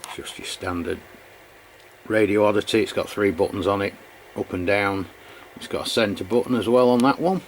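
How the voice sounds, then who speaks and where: A man with a low-pitched voice talks casually through a hands-on product review. His delivery is unscripted and matter-of-fact. There is noticeable noise behind him. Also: moderate pace, low-quality recording. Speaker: radio equipment reviewer. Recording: clear background noise.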